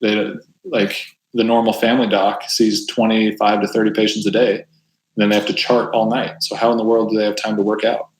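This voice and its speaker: Deep-voiced man, a doctor, in a roomy echo. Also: level tone, brisk. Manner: thoughtful, conversational, with frequent restarts. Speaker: family doctor. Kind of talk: casual interview talk.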